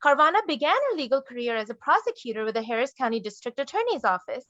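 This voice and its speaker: High-voiced woman moderating an online panel. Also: big pitch swings, fast, a bit bright, no room sound, lo-fi sound. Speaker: moderator and case management director.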